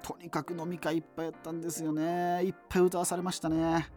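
An actor playing a wry, self-deprecating radio host in a doomed future, reminiscing in a digressive, hesitant conversational monologue. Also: male voice, fairly high pitch, flat delivery, fast talker, a little mellow, soft background music, close to an everyday voice. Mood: wry, rueful.

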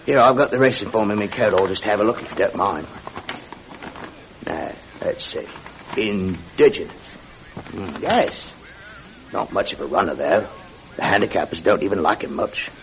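An actor playing a blunt, eager working-class British landlord with a betting habit in a classic radio drama, speaking colloquially. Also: male voice, low voice, expressive, moderate pace, pauses often. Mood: coaxing, affable. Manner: colloquial, uses "me" for "my". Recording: muffled radio recording with clear noise.

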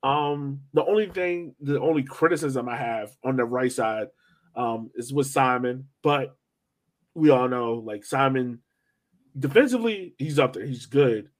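Male American podcast co-host, mid-pitched, for relaxed conversational soccer match breakdowns. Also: a little slow. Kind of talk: casual soccer match analysis chat.

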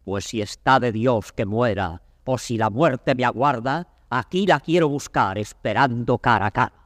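An actor playing a defeated old king and father, declaiming classical Spanish verse with a Castilian accent in grave, drawn-out phrases. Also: medium pitch, close to an everyday voice. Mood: resigned defiance. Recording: reverberant hall, vintage studio drama record.

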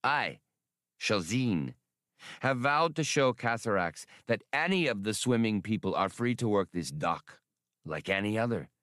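Man acting out old bull creature, storybook character, whistling voice, solemn, formal, defiant, unhurried. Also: on the low side, animated, fairly bright tone, no echo, studio quality. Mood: defiant, resolute. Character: battle-scarred old bull lake creature, proud, defiant, huge.

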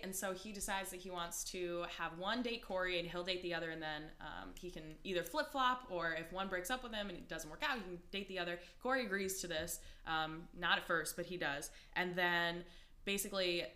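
Bright, lowish woman recapping on a podcast. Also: brisk. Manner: chatty, expressive, recaps plots in a rush. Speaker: podcast co-host. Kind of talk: casual chat.

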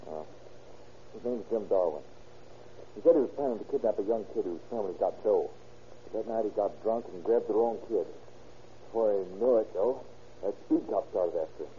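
An actor playing a nervous, evasive crook with slangy, ungrammatical speech in a classic radio police drama. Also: male voice, fairly low pitch, brisk, long pauses, natural voice. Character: nervous, cornered crook brother under police questioning. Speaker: narrator and actor. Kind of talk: 1930s-40s radio police drama acting. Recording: muffled, noisy old radio recording.

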